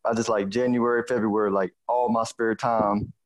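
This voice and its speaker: A man's voice: on the low side, flat delivery, normal speed. Casual man with a Houston accent. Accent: Houston, Texas. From Houston, Texas. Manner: colloquial, repeats "you know" often. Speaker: podcast host and financial advisor. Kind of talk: casual conversational chat.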